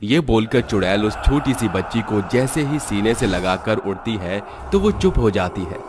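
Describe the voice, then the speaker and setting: A man's voice: lowish voice, animated, normal speed, noisy background. A man narrating a scripted Hindi cartoon story as its storyteller.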